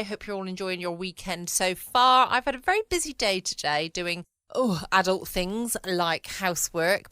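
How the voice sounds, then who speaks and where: Southern english lady chatting on her podcast, bright voice, dry close sound, rambly. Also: medium pitch, clean tone, no echo. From United Kingdom. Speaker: podcast host. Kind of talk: chatty informal solo podcast. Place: at home, dry close room.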